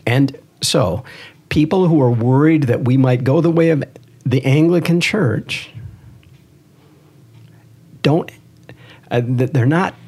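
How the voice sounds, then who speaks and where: A man with a mid-pitched voice that has a slightly husky edge. He talks as a priest co-hosting a religious podcast, in earnest discussion. He presses his points emphatically and repeats key phrases.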